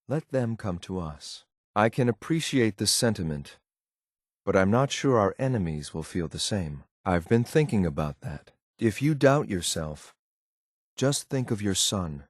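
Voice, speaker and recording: An actor playing a stern, loyal synthetic agent in a video game, speaking in a clipped, formal, deadpan military way. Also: male voice, on the low side, dry recording, studio quality. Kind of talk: scripted game character lines.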